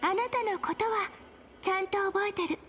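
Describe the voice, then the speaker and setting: A bubbly, teasing game heroine. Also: female voice, extremely high pitch, quick pace, crowd noise. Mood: playful. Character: playful bubbly young woman, gentle and teasing. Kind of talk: Japanese game voice acting. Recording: game audio with music behind.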